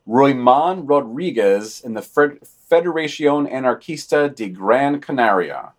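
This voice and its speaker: A man's voice: mid-range voice, expressive, unhurried. A man hosting a podcast, reading scripted news items and dropping in dry, opinionated asides.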